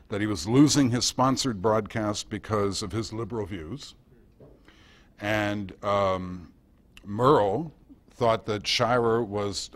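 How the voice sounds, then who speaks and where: A man with a fairly low American voice gives an informal talk. He answers questions thoughtfully and anecdotally, hesitating and pausing as he searches for the right phrase. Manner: hesitant, thoughtful, anecdotal delivery with long pauses. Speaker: guest speaker and author at a club meeting. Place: indoor club meeting.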